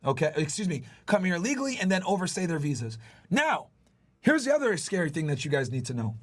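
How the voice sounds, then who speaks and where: A man's voice: fairly high pitch, sing-song, quick pace. A man hosting a livestream explainer, talking emphatically with casual swearing, repeated points and frequent check-ins with his listeners. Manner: checks in with listeners using "right?", "okay?". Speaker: livestream host, former federal agent.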